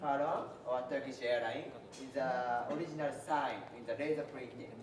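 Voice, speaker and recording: A man in his twenties pitching his startup in halting speech, full of fillers and restarts. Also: steady tone. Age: 20s. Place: large event hall. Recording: roomy hall from far off, crowd noise.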